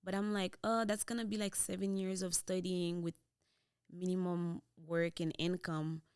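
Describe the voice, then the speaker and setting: A young woman from Haiti with a Haitian Creole accent, a podcast guest telling her story in relaxed chat and slipping into Creole, on a studio boom mic. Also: moderate pace, clear, resonant tone, no room sound. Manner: switches into Creole mid-sentence, relaxed storytelling. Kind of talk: casual interview chat. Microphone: boom-mounted studio mic.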